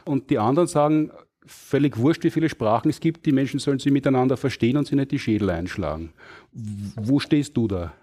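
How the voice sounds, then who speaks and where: Man, podcast host, live stage, quick, provocative. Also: mid-range voice, mellow, slightly rough. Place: stage before a live audience.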